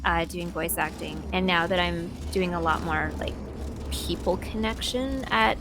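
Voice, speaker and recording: A woman in a casual interview chat while playing a video game, thoughtful and halting with playful asides. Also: fairly low pitch. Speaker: interview guest, voice actor. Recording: music playing behind the voice.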